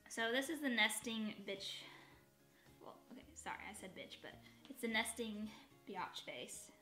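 A chatty woman with a bright, forceful tone and a slightly raspy, dry voice talks in a rambling, self-correcting way as she does her makeup. She sits at desk distance from the camera in an echoey room at home, with faint music behind her. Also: fairly high pitch, animated, unhurried. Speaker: beauty vlogger. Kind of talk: chatty get-ready-with-me. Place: bedroom at home, indoors.

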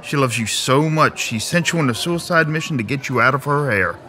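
Man playing a sneering, sharp-voiced tormentor. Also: mid-range voice, animated, fairly quick, soft background music. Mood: scornful. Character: mocking, scornful male tormentor babysitting a spoiled young man. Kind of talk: audio drama acting.

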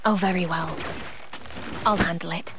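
A woman's voice: on the low side, steady tone, normal speed, very noisy, close to an everyday voice. An actress playing a refined, haughty young female fighter in a video game, speaking formally with dry, long-suffering reproach. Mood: weary, reproachful. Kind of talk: video game cutscene acting.

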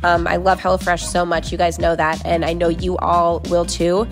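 A woman's voice: on the low side, little pitch movement, normal speed, music in the background. A woman in her late twenties vlogging casually, sarcastic and chatty, rambling with likes and ums, stressed and near tears while driving. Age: late 20s. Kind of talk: casual vlog chat with sponsor read. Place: car interior. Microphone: camera on dashboard.

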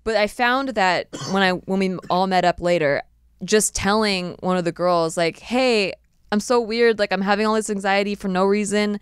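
A woman in her thirties hosts a casual podcast, talking in a smooth, clear voice at a middle pitch. She is chatty and animated, often dropping into playful voices. The sound is dry and close on a desk mic. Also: normal speed, no echo. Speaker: podcast host. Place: small podcast studio. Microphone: desk mic on a boom arm.

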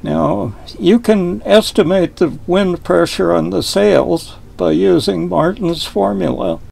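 An older man with a folksy, deliberate manner, explaining boat design in a wood-panelled cabin, filmed from a fixed camera across a table. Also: sits high, vocal fry, darkish tone, breathy edge. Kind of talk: explanatory video lesson.

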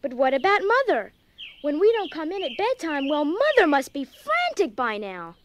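A woman's voice: sits high, wildly swooping pitch, moderate pace. An actress playing an anxious, impatient young teenage girl in an audio drama, earnest and urgent. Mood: anxious, questioning. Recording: clear background noise.

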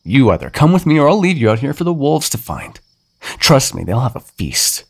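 A man's voice: medium pitch, very animated, moderate pace, gravelly, husky, exaggerated voice. A man voicing a centuries-old male vampire in an audio roleplay, condescending and teasing with sudden threats. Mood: angry.

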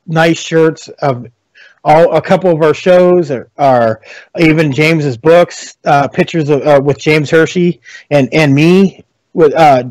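A man co-hosting a podcast, signing off in a loose, effusive, halting way. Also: fairly high pitch, a little mellow, no room sound, poor audio. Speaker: podcast co-host. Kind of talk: casual sign-off talk.

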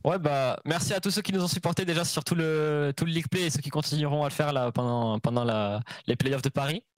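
A man's voice: on the high side, fast talker, dry recording. A man, an esports player, giving a casual, upbeat post-match interview full of slang.

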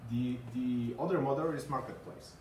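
A man's voice: medium pitch, moderate pace, darkish tone, a little hiss or hum. Polish-accented man, echoey and distant. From Poland. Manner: hesitant, many fillers and repeated words. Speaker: conference speaker. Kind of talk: presentation talk. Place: small meeting room with green walls and a screen. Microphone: far camera, no mic seen. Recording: echoey room from far off.

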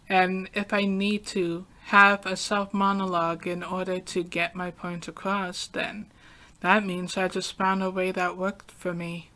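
A young adult woman hosting a podcast, talking through a calm, soft-spoken, reflective solo monologue. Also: lowish voice. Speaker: podcast host.

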